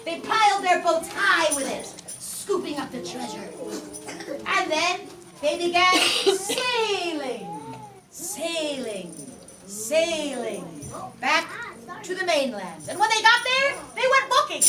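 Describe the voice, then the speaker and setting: A woman's voice: extremely high pitch, big pitch swings, slow. A woman leading a kindergarten puppet show, telling the story in a theatrical, sing-song way and prompting the children to join in. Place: classroom. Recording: echoey classroom, far from the mic, music behind.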